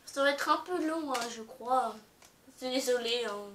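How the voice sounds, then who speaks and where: A woman's voice: a little high, noisy background. A middle-aged woman hosting a casual vlog, playful and hesitant, humming and singing little bits as she plays. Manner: playful, slow and hesitant, hums and sings bits. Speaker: vlogger host. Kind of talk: casual play-along while gaming.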